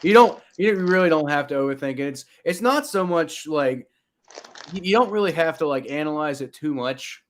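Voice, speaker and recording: Blunt, higher-voiced man with slight Kentucky accent. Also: lively intonation, normal speed. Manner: blunt, opinionated, stammering repeats. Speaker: sports podcast co-host. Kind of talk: casual sports chat.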